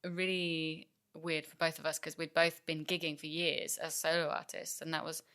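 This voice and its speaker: A woman's voice: low voice, little pitch movement, normal speed, pushed and bright. A young woman musician from England chatting casually in an interview, with lots of fillers and restarts. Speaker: musician guest.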